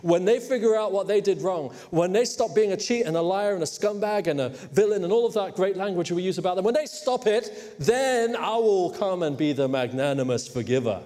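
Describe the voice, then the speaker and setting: A man's voice: high voice, animated, brisk, hardly any pauses, mellow. A man from Hong Kong preaching a sermon emphatically, teasing his congregation at times. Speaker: pastor. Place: church. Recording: long hall reverb.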